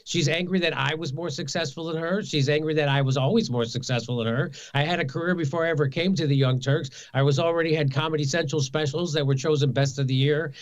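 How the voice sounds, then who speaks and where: A man's voice: fairly high pitch, fairly even intonation, quick pace, hardly any pauses. A man in a played interview clip, stammering at first and then ranting with a string of repeated rhetorical questions. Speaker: comedian and commentator guest. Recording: dull, lo-fi clip audio.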